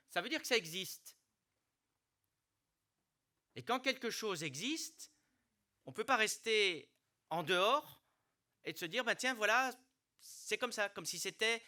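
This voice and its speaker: A middle-aged man delivers a formal address with a very bright, forceful edge to his voice. His pitch sits a touch high for a man. He speaks earnestly and deliberately, often restarting a phrase before pressing on to the key word. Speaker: mayor giving a New Year speech. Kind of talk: formal public speech with hesitations. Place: hospital hall.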